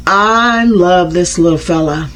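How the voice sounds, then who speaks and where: A woman hosting a casual crafting unboxing vlog, chatty and homey, slightly raspy from a starting cold. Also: fairly low pitch, takes it slowly, fairly bright tone. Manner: chatty, homey, addresses viewers as y'all. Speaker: crafting channel host.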